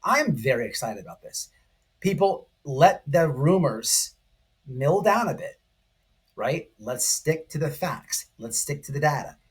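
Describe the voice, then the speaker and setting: Excited, slightly husky man vlogging. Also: on the high side, a little slow. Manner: excitable, emphatic, repetitive, addressing viewers directly. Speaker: online commentary channel host. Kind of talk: casual, informal video commentary.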